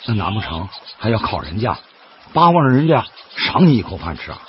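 An actor playing an earnest, stubborn, cheerful laid-off worker in a radio drama, coaxing and folksy, with a Northeastern Chinese accent. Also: male voice, on the low side, sing-song, brisk. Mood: stubborn, defiant. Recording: dull lo-fi audio, loud background noise.

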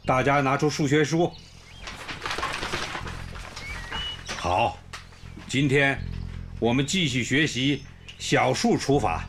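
A man's voice: mid-range voice, measured pace, close to an everyday voice. A male actor playing a kindly, reassuring village primary school principal in a radio drama. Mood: calm, teacherly. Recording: music behind the voice.

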